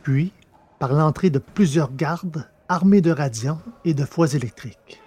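A man's voice: a little high, a little slow, mellow, breathy edge. A man reading scripted science-fiction story narration.

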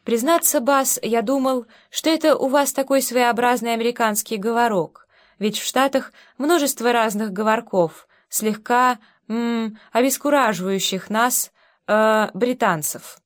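A woman's voice: fairly high pitch, unhurried, close to an everyday voice. A woman voicing a shy, polite young British man who speaks hesitantly and deferentially. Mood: flustered.

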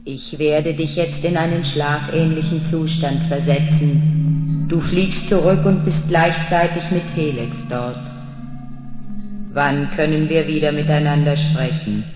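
A man acts out a vast planetary mind for a vintage radio play. The voice is slightly high and very slow, solemn and commanding, floating in cavernous reverb. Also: steady tone, over music, close to an everyday voice. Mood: calm, solemn. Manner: measured, solemn. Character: calm, solemn planetary collective intelligence, telepathic and commanding. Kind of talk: 1970s German radio play acting.

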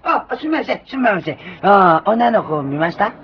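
A man's voice: high-pitched, animated, quick pace. An actor playing a blunt young man who calls out to passersby and explains things to his friend. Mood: calling out. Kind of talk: 1990s radio drama acting. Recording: dull lo-fi radio play with music.

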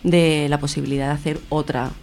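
A woman's voice: sits low, level tone, brisk, darkish tone. A woman with a Spanish accent from Spain answering casually and colloquially as a guest in a radio interview. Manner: informal, colloquial. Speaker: interview guest, feminist festival organiser.

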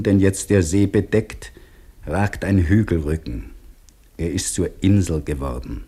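Sober working man's diary: very low, dark tone, measured radio drama delivery. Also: breathy edge. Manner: measured, terse, reflective. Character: sober, weary male dam labourer reading his diary.

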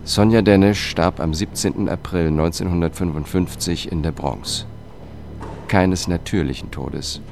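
A very low, weary male voice tells an investigator's story in a radio drama. He is measured and melancholic, holding back emotion, with clear music behind him. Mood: sober, factual. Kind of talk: radio drama narration and dialogue.

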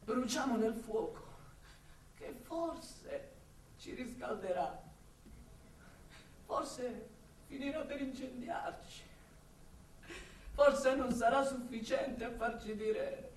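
An actress playing an impassioned woman torn between love and exasperation for her city, in a declamatory radio monologue with drawn-out, repeated words. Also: on the high side, expressive, a little hiss or hum. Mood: hushed, aching. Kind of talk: dramatic theatrical radio monologue. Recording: roomy echo, distant from the mic.

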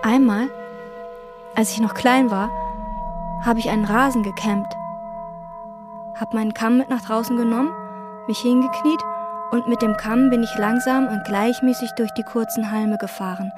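An actress playing a quiet, obsessive hotel chambermaid who speaks in slow, introspective radio-drama monologue. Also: female voice, fairly high pitch, steady tone, music in the background, lightly acted. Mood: brooding. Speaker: narrator and lead character.

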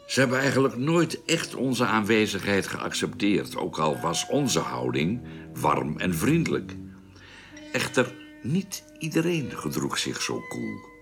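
A reflective space explorer with a deep voice and expressive melody, telling his memoir in measured literary phrases over music. It has the style of radio drama narration. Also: creaky, heavily acted.

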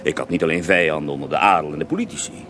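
An actor playing a pious, self-righteous Russian mystic healer in a radio drama, devout and wounded in manner. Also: male voice, extremely low pitch, racing, noisy background. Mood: self-justifying. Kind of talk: radio drama acting, mock interview.

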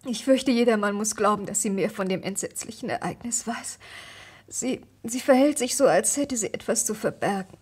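A woman's voice: mid-range voice, normal speed, darkish tone, husky, natural voice. An actress playing a distressed, anxious young woman who speaks earnestly and fragilely in a vintage German radio crime drama. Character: distressed, anxious young niece defending her cousin.

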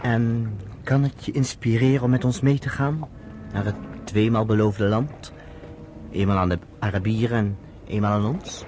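Male actor, lowish pitch, as persuasive, companionable narrator figure in radio drama; slight noise in recording. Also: fairly even intonation, moderate pace, lightly acted. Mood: inviting. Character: earnest storyteller, a persuasive, companionable Zionist man. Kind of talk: Dutch radio drama acting, storytelling.

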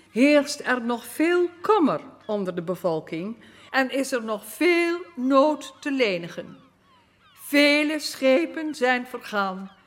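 A solemn Dutch queen in a radio drama. Her voice is high and she speaks very slowly, with stately formality, as if addressing her stricken people. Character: solemn Queen Wilhelmina addressing flood victims.

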